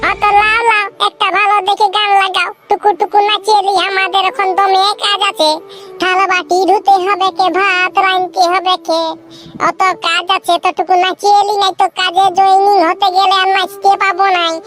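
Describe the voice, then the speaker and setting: A performer voicing a lively, bossy and playful cartoon villager in comic Bengali with a Purulia accent. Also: very high voice, moderate pace. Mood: impatient. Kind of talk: comic regional cartoon dialogue. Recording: music under the voice. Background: music.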